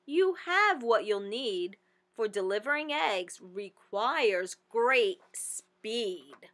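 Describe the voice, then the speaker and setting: A woman voicing a calm, doubtful male Easter bunny in a storybook, with questioning delivery. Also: fairly high pitch, extremely slow, full character voice. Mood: doubtful, advising.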